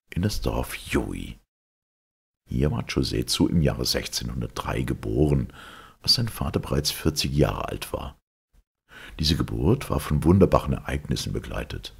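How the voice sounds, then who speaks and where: A man reading a legend aloud as a narrator, in a measured, formal storytelling delivery. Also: rumbling low, gravelly, slightly rough.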